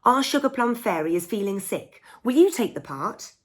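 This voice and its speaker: A woman voicing a ballet teacher addressing her young class in an expressive picture-book read-aloud. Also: on the high side, normal speed, voice pushed up. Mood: earnest.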